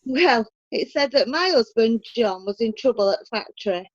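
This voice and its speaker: Blunt Yorkshire widow female actor, comic courtroom drama; dry delivery, high voice, close dry sound. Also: animated, normal speed, no echo, highs cut off. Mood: blunt, indignant. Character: blunt, fierce working-class Bradford widow giving evidence. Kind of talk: comic courtroom play acting.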